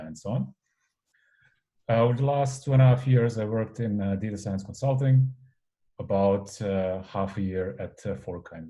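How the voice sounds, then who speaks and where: A man's voice: on the low side, warm tone, rough recording. A man from Serbia in his thirties giving a guest lecture online in a casual, rambling way with many fillers.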